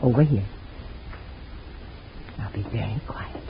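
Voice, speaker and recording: A woman voicing a loyal family friend in an old radio drama, calm and consoling in a 1940s acting style. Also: fairly low pitch, normal speed, slight background noise, natural voice. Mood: hushed. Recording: tinny old radio recording.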